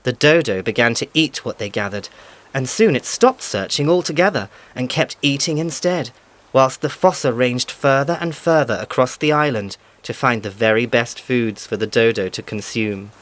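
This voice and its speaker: A man narrating a scripted animal fable in a measured, emphatic storytelling manner. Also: medium pitch, expressive, fairly bright tone.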